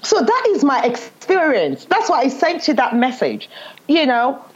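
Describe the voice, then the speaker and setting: Lively Nigerian woman, muffled audio. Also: high-pitched, a little slow. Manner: animated, emphatic storytelling with laughs. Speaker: guest. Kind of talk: casual conversation.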